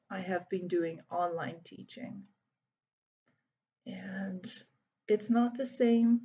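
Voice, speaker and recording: A woman in her thirties from Hungary, introducing herself slowly and carefully to students, with many pauses and fillers. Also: lowish voice, a little mellow, highs cut off. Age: 30s. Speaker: volunteer English teacher. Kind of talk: recorded self-introduction to students.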